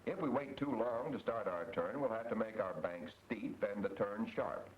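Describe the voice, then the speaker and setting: An American man reading scripted instructional narration in a 1940s training film style, measured and didactic. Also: on the low side. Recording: muffled old film soundtrack, loud noise.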